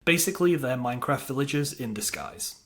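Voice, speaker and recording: A man's voice: medium pitch, expressive, fairly quick. A man delivering scripted narration for a video game review, with a wry, dryly humorous manner.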